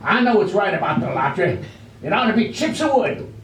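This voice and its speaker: Heard from off in a roomy space, a man acts a crotchety old villager in a stage play. His pitch runs high and swings widely as he stammers and scolds in a folksy, repetitive way, clinging to old customs. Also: very animated, fairly quick, fairly bright tone, slight background noise. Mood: indignant. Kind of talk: amateur readers' theater stage acting. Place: library room during a staged reading. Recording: roomy echo, distant microphone.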